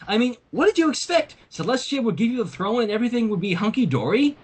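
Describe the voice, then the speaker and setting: Man acting scolding female pony princess, storybook, sarcastic, high voice, a bit husky. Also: sing-song, quick pace, exaggerated voice. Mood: exasperated scolding.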